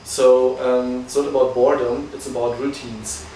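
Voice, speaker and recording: Relaxed man, distant and echoey. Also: mid-range voice, normal speed, slight background noise. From Berlin, Germany. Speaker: guest. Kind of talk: casual interview. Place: living room of a guesthouse, indoors. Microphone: far camera. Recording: echoey room from far off.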